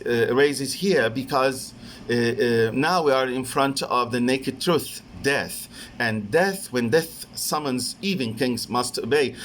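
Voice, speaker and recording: A man with an Egyptian accent, a native Arabic speaker, presents an academic paper as a professor, in a halting, hesitant delivery that often repeats phrases. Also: mid-range voice, slight background noise. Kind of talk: academic conference lecture with slides.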